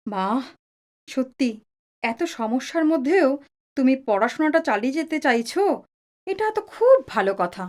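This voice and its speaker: Woman acting a high-voiced, expressive mother. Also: moderate pace, pauses often. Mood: kindly. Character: caring, chiding middle-aged mother and wife. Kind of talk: audio drama acting.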